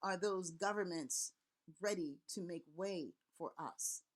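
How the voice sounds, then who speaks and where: A woman's voice: fairly low pitch, a little slow. A middle-aged woman from Haiti, a Vodou priest and elder, giving an earnest, rallying spoken address with repeated words and long lists. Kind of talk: impassioned spoken address to camera.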